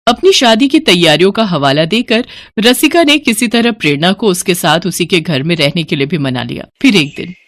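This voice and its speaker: A woman's voice: on the low side, expressive, moderate pace, no echo. A woman narrating a scripted moral story as a storyteller.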